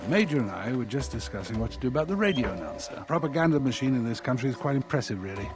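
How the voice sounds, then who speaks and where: An actor playing a cynical middle-aged mercenary fixer in a video game, briefing in a dry, matter-of-fact way. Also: male voice, mid-range voice, sing-song, racing, close to an everyday voice. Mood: businesslike. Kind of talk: video game cutscene acting. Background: music.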